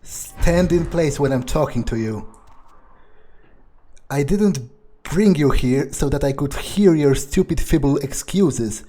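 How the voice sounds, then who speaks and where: Arrogant brooding male vampire, played by a man for a game roleplay: dark, husky, slow, menacing. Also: fairly high pitch, lively intonation. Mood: menacing, angry. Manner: haughty, slow, halting, menacing. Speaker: role-playing game streamer. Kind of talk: in-character game playthrough monologue.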